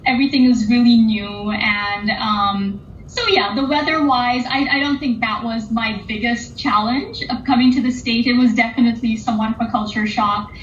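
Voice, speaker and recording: A woman guest chatting casually in an online artist interview, higher voice echoing round the room over faint noise. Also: fairly even intonation, moderate pace, hardly any pauses. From England and Oman, later the United States. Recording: roomy, dull, lo-fi audio with faint noise.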